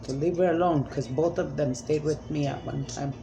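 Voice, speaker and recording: A woman's voice: low voice, steady tone, moderate pace. A woman speaking as a self-described spirit medium in a rambling, emphatic monologue, pleading and repeating commands.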